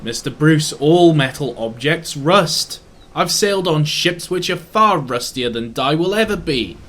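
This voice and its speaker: A man's voice: a little high, expressive, a little slow, a bit bright, pitched above the performer's own voice. A man playing a blustering railway owner. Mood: exasperated. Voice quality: exasperated, blustering. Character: irritable, proud older male railway owner.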